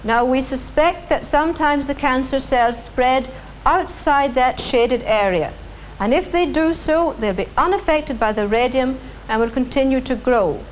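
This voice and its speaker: High-voiced woman on noisy 1950s television. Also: highs cut off. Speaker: doctor presenting radiotherapy treatment. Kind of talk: explanatory live demonstration for television. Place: hospital radiotherapy department.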